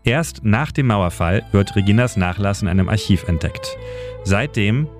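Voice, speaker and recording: Male radio narrator, lowish pitch, scripted storytelling in pointed phrases, music bed. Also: expressive, moderate pace. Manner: short, pointed sentences. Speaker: radio editor and city guide.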